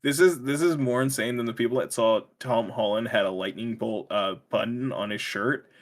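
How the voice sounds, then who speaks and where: A man with an ordinary mid-pitched voice banters casually as a podcast co-host. He delivers wry, skeptical quips and often breaks into laughter partway through his sentences. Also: normal speed. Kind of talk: casual chat.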